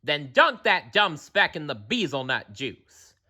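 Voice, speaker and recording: A man's voice: a little high, big pitch swings, measured pace, pushed and bright, heavily acted. A man voicing a rowdy gang of mean jungle monkeys in a jeering storybook voice.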